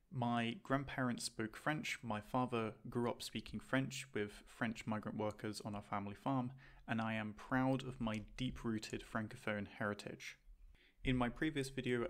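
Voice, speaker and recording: A man's voice: mid-range voice, fairly bright tone. A young man from Jersey in the Channel Islands presents a scripted explainer as the host of the video.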